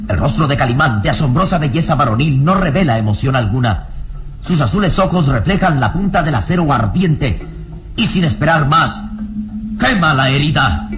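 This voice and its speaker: A man narrating a radio drama in a solemn, deliberate, dramatic scripted style. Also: a little high, background noise.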